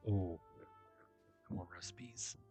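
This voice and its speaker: A man's voice: low-pitched, extremely slow. A man doing casual let's play commentary, reading game text aloud and muttering to himself in short fragments. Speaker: gameplay streamer. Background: game music.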